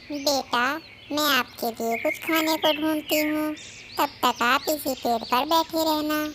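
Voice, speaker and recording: A performer voicing a gentle, protective mother sparrow in a cartoon moral story, worried and pleading. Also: sits high, fairly even intonation, unhurried, background noise. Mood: tender, sad.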